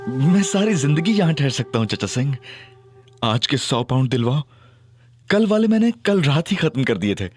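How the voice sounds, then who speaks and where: A man's voice: fairly high pitch, normal speed. An actor playing a cocky young male detective who feigns foolishness, narrating in first person in an audio drama. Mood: childish. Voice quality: lively, teasing and babbling. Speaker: narrator and lead character. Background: music score.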